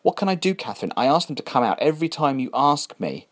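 A man's voice: fairly high pitch, big pitch swings, fast talker, pitched above the performer's own voice. A man voicing a harried, defensive male building manager in a storybook reading. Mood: pleading.

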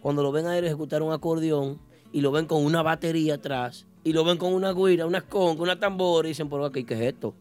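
A man hosting a casual radio talk show in a studio, introducing and welcoming a guest with lively interjections. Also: on the high side, fast talker, clean tone, faint music behind. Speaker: radio host.

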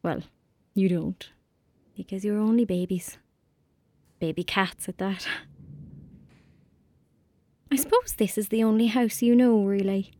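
A woman's voice: mid-range voice, measured pace, long pauses, a little mellow, close to an everyday voice. Woman playing a tender young rural Irish girl. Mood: gentle, soothing. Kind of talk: audio drama acting, monologue and dialogue.

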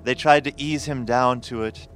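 An actor playing a grave, formal professor who narrates a horror audio drama in a measured, literary way. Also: male voice, mid-range voice, steady tone, fairly bright tone, soft background music, close to an everyday voice. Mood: grave, recounting.

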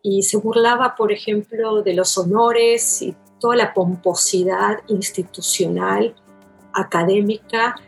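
A woman's voice: mid-range voice, fairly even intonation, a little slow, creaky, slightly rough, faint music behind, poor audio. A woman from Buenos Aires with a porteño Argentine accent, a literary scholar talking at length in an interview, thoughtful and elaborate, often tagging her sentences with a questioning "¿no?". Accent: Argentine porteño Spanish. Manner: thoughtful, elaborate, with frequent restarts and "¿no?" tags. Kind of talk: academic interview talk.

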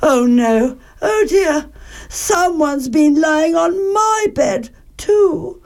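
Woman playing fussy, high-voiced mother bear. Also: animated, very slow, a little mellow, exaggerated voice. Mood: flustered. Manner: fluttery, prim, exclaiming. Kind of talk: children's storybook character voice.

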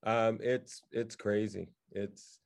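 Halting, wry man in casual chat. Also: fairly low pitch, flat delivery, creaky. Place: remote video call.

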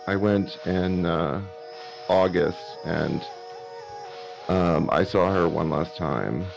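Deep gravelly man, speaking haltingly. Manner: halting, hesitant, many pauses and fillers. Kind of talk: casual conversation. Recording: muffled recording with clear music behind.

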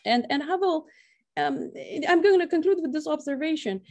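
A woman's voice: sits high, normal speed, darkish tone. A woman giving an academic conference talk, ending nearly every phrase with a questioning "right?". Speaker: academic speaker.

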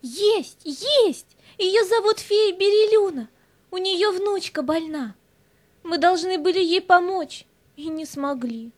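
Very high-voiced little girl. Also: expressive, slow pace, no room sound, exaggerated voice. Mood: eager, earnest. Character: eager, tender little girl in a fairy tale. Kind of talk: audio fairy-tale play acting.

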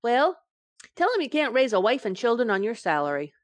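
A woman's voice: fairly high pitch, very animated, fairly quick, no room sound. A woman playing a scatterbrained, coaxing young wife in an old-time radio sitcom, with a breezy, innocent comic delivery. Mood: innocently evasive.

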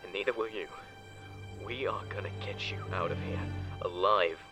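A man playing a desperate, protective crash survivor in an audio drama, urgent and halting as he pleads. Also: lowish voice, over music, close to an everyday voice. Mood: urgent, reassuring. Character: desperate, protective male crash survivor stranded with dying crewmate.

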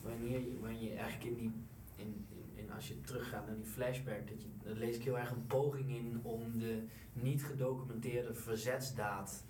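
A man in a group discussion, speaking thoughtfully and haltingly, far from the mic in an echoey, noisy room. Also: medium pitch, flat delivery. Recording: echoey, distant mic, loud noise.

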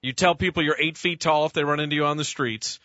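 A man's voice: a little high, steady tone, fast talker, pushed and bright, dry recording, lo-fi sound. A man hosting a sports radio show, asking chatty, rambling questions full of restarts. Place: radio studio.

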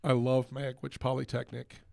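A man co-hosting a podcast in casual, halting, wry chat. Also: mid-range voice, completely flat delivery, gravelly, darkish tone, no echo, clean studio sound. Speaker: podcast co-host.

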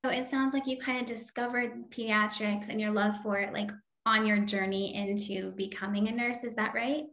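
A woman's voice: on the high side, fairly even intonation, moderate pace, a bit bright. Upbeat woman podcast host, roomy sound. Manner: upbeat and welcoming. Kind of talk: podcast interview hosting.

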